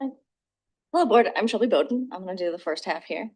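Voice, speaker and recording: A woman, an English learner specialist, presenting to a school board in a soft, chatty way with small slips. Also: mid-range voice, lively intonation, quick pace, slightly rough. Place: board meeting room.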